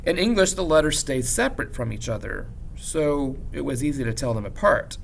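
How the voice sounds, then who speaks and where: A man reads a story aloud like a teacher, in expressive narration with measured, dramatic pauses and emphasis. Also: mid-range voice, vocal fry, a little hiss or hum. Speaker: teacher reading a novel aloud. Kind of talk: expressive audiobook-style narration.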